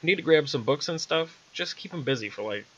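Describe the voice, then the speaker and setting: A man's voice: fairly high pitch, animated, fast, muffled recording, pitched above the performer's own voice. A man voicing a wry, casual female witch who offers curse removal in a read-aloud story. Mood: scheming.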